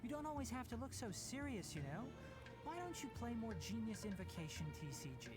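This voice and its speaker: Lively, high-voiced young scholar. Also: male voice, a little fast, loud music behind the voice. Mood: gently chiding. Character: young male forest scholar, brisk, dry, caring mentor. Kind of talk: video game dialogue.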